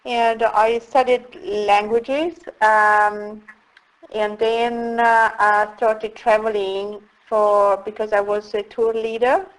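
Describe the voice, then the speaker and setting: A middle-aged woman from Italy, telling her story as an interview guest in a slow, reflective way with frequent pauses. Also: fairly high pitch, level tone, poor audio. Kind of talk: casual interview.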